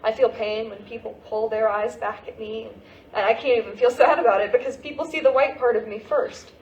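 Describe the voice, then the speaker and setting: A woman's voice: on the high side, fairly even intonation, brisk, a little mellow, highs cut off, close to an everyday voice. A woman playing a grieving, searching American teenage girl in an emotional monologue at a staged play reading. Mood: pained. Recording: echoey stage recording with faint noise.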